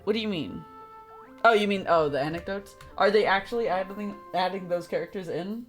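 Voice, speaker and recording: A woman's voice: mid-range voice, fairly quick. A woman live-streaming a video game with casual, blunt, reactive commentary in informal words. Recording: game music behind the voice.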